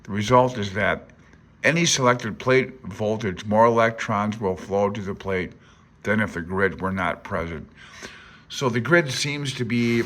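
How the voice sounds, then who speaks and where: A middle-aged man speaks in a fairly low voice as a hobbyist walking through a technical text. He moves between reading aloud and informal commentary. His manner is tentative and self-deprecating, as if working out each idea while he talks. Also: a bit bright. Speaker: amateur radio hobbyist explaining a handbook. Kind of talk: informal read-along tutorial, alternating reading aloud and commentary.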